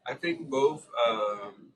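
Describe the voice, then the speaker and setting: Man, French accent, unhurried and halting, restarts often, recorded from a distance. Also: mid-range voice, expressive, slight background noise. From Switzerland. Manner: thoughtful, halting, many restarts. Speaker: guest lecturer. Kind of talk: informal discussion. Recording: distant mic.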